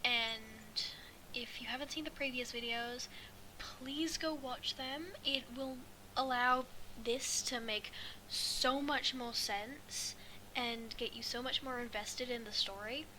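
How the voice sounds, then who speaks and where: A woman reading a story aloud as an amateur, halting and stumbling over her words. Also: fairly high pitch, pushed and bright. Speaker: fan fiction reader. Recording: clearly noisy amateur recording.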